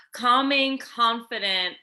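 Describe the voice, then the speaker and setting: A woman's voice: on the high side, extremely slow, sharp tone. A woman co-hosting a podcast in casual chat, playful and giggly, often trailing off mid-sentence. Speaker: podcast co-host, author.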